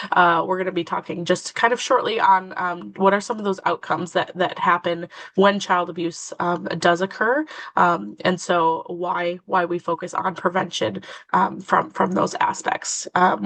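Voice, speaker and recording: A woman presenting a webinar as a prevention education coordinator, slightly nasal from a cold, pausing often with many fillers. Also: lowish voice, a bit bright, no echo.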